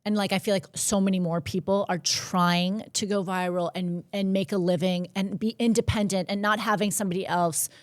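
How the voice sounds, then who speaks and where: A woman's voice: medium pitch, steady tone, brisk, few pauses, no echo, clean studio sound. A woman podcast host who asks long, hesitant questions full of fillers. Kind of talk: casual interview chat.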